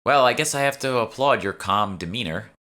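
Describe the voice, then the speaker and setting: A man performs a young fantasy heroine in an audiobook. She is anxious and inquisitive, asking questions in an uneasy manner with a tense, bright tone. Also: medium pitch, lively intonation, brisk, pitched above the performer's own voice.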